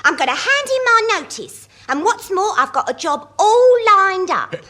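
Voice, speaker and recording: Radio sitcom secretary: young, English, high and lively, forever aggrieved and chatty. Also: female voice, normal speed, exaggerated voice. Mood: fed up. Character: bored, grumbling young female office secretary, Mildred. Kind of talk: British radio sitcom acting. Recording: studio radio comedy recording.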